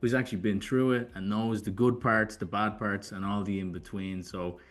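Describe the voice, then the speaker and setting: A man's voice: on the low side, steady tone, normal speed. A man hosting a podcast, chatting casually and putting his points in an informal, rambling way. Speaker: podcast host. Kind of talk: casual interview chat.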